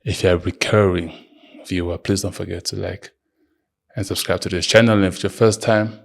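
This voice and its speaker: Deep gravelly man in his thirties. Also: steady tone, a little fast. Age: early 30s. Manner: emphatic, lecturing, addresses the listener directly. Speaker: podcast host. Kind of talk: solo motivational monologue.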